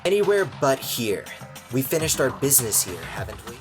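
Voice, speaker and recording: A man voicing a haughty, cold, dismissive elite high-school student in a game dub, condescending and curt. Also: sing-song, fairly quick, over music, lightly acted. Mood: cool, businesslike. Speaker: voice actor in a fan dub.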